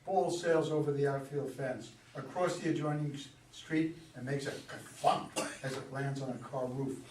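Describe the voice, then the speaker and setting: A man's voice: medium pitch, steady tone, moderate pace. A man reading stage directions as narrator at a staged play reading. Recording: echoey room, far from mic, background noise.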